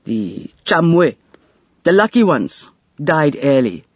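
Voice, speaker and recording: A man playing a world-weary, guarded male ex-prisoner in an audiobook, speaking gravely and simply with rueful resignation. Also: a little high, very animated, slow, no echo, highs cut off, exaggerated voice. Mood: rueful, resigned.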